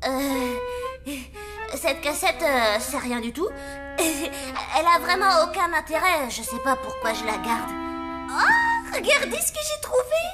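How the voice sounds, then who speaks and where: Very high, bossy cartoon kid. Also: female voice, unhurried, over loud music. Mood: scheming, evasive. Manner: bossy, excitable. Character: bossy, scheming, excitable zombie kid. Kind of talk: French cartoon dubbing.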